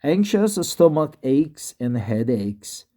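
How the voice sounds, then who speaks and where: A man with a Korean accent talks and reads aloud in a slow, halting way, full of fillers. He is slightly tipsy, practicing English on his own vlog. His pace is unhurried throughout. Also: mid-range voice, sing-song, mellow. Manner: halting, many drawn-out fillers. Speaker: English learner vlogging. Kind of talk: casual talk and reading aloud.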